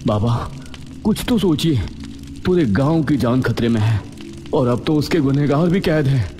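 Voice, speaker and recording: A man voicing a firm, determined young police inspector in a cartoon story, with a brisk, authoritative delivery. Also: mid-range voice, lively intonation, warm tone, soft background music, pitched above the performer's own voice, close to an everyday voice. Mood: urgent. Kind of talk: Hindi animated moral horror story dialogue.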